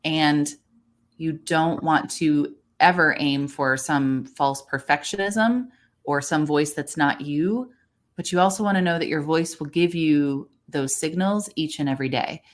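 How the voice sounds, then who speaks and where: Low-voiced woman, calm voice coach. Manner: measured, encouraging, reassuring. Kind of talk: podcast conversation, advising listeners.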